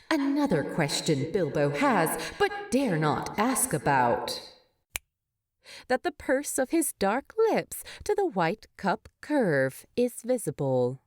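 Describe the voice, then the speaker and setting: A woman narrates a storybook tale at a slow, measured pace. Her pitch rises and falls dramatically from phrase to phrase, and the sound is dry and close. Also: darkish tone, dry recording. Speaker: fan fiction narrator. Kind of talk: expressive scripted storybook narration.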